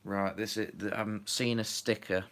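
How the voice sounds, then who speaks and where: A man's voice: on the low side, level tone, normal speed, fairly bright tone. A man chatting casually on a live stream while building, rambling with lots of fillers and muttering to himself, a little stuffy from a runny nose.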